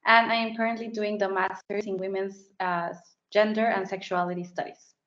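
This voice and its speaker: A woman's voice: mid-range voice, flat delivery, normal speed, a bit bright, reverberant. A young woman from Mexico City introducing herself as a graduate student over a video call, in a friendly way. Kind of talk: brief self-introduction. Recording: dull lo-fi call audio.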